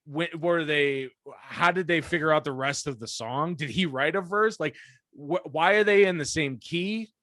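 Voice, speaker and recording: A man's voice: on the high side, normal speed, a bit bright, no room sound. A man co-hosting a podcast in casual chat, blunt and profane, laughing often as he gripes. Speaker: podcast co-host; performer, musician.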